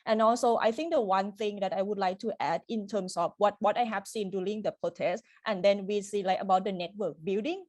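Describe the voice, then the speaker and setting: A woman answers on a panel as an activist. Her phrasing is loose and informal, strung together with fillers. The voice is mid-pitched and recorded dry. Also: steady tone, fairly quick, hardly any pauses, a little mellow, no echo. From Thailand. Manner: loose phrasing with frequent 'like'. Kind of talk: panel discussion, unscripted.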